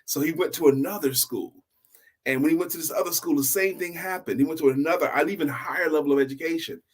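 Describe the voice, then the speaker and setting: A man hosting a story show, telling a tale in a casual, animated, improvised way and repeating phrases for emphasis. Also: fairly high pitch, quick pace, husky.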